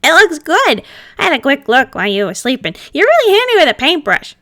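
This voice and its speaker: A woman's voice: sits high, huge pitch swings, brisk, a bit bright, voice pushed up, exaggerated voice. A woman voicing a giggly, cheeky male spirit with chatty, slangy delivery in an amateur cartoon roleplay. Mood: cheerful, teasing. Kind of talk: amateur roleplay read-aloud of a cartoon character.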